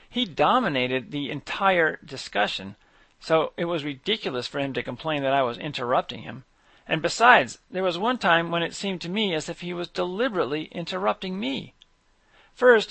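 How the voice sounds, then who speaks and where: A man's voice: fairly high pitch, gravelly, bright, forceful tone, no room sound. Man reading a dry scripted monologue. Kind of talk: scripted monologue read aloud.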